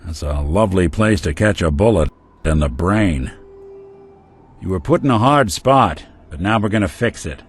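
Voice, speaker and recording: An actor playing a world-weary male synth detective in a game, talking with a gruff, laconic noir drawl. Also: sits low, animated, normal speed, soft background music. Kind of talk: game companion dialogue.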